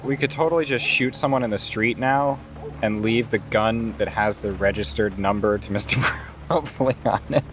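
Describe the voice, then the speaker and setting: Low-voiced man playing a tabletop game. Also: normal speed, a bit bright, faint music behind. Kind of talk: casual in-character game play talk.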